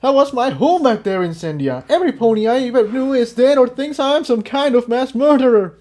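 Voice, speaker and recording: A man's voice: extremely high pitch, lively intonation, fairly quick, a little mellow, pitched above the performer's own voice. A man voicing an anguished, bitter young male pony in an indignant, overwrought way, as in an audio storybook. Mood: bitter grief. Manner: indignant, pleading, emotionally overwrought.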